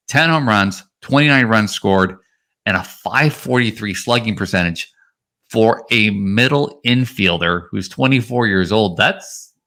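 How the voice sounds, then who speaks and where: A man's voice: on the low side, gravelly, pushed and bright. A man co-hosting a fantasy baseball podcast, giving casual analysis in a rambling way that stresses key points. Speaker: podcast co-host.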